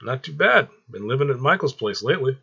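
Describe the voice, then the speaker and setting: A man's voice: medium pitch, lively intonation, fairly quick, gravelly, sharp tone, slightly rough, dull audio, voice pushed up, exaggerated voice. A man voicing a flippant, slightly nervous teenage boy with an offhand, joking manner, in a story read aloud. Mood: casual.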